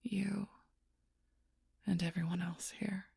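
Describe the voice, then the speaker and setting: A woman playing a gruff, stubborn, protective female alpha werewolf in an intense audio roleplay, blunt and often hushed, with a husky voice. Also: lowish voice, flat delivery, slow pace, no echo, clean studio sound, heavily acted. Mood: hushed, grim. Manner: intense, blunt, swearing, often dropping to whispers.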